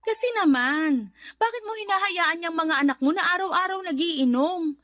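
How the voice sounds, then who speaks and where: A woman's voice: very high voice, expressive, normal speed, a bit bright, no room sound, clean studio sound. A woman acting in a Tagalog radio drama as a married homeowner who nags and lectures her older brother. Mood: scolding, indignant. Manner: nagging, preachy, emphatic.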